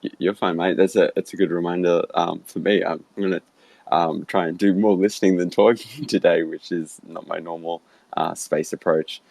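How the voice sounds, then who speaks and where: A man's voice: sits low, fairly even intonation, moderate pace, vocal fry, darkish tone, no echo. An Australian man from Sydney hosting an online audio room, chatty and easygoing, laughing easily. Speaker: host. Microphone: online voice call.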